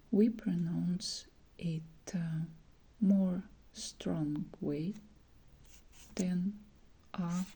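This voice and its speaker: Female teacher, soft breathy whisper, very slow hesitant delivery with fillers, ASMR lesson. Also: fairly low pitch, rough recording. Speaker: Russian language teacher.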